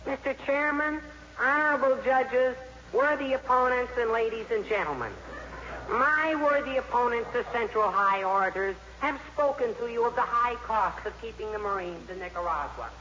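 An actor playing a formal, pompous high-school boy debater with stiff oratory, in 1950s radio drama style. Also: moderate pace, pushed and bright. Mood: pompous, declaiming. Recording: echoey, dull, noisy old radio broadcast.

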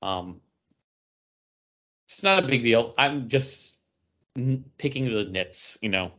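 A man's voice: mid-range voice, slow, sharp tone, dull audio. A man co-hosting a podcast, giving his critical take in loose, casual conversation. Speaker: podcast co-host. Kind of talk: casual, critical review chat.

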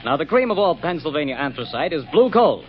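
A man working as an announcer, reading a 1940s radio commercial with polished, measured salesmanship. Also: on the high side, big pitch swings, a little hiss or hum. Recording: dull old radio recording.

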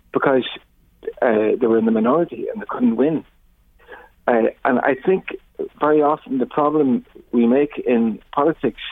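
Older Northern Irish male guest, husky and creaky, thoughtful halting delivery down a thin phone line. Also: mid-range voice, no echo. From Northern Ireland. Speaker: interview guest. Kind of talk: reflective radio interview talk. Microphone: telephone.

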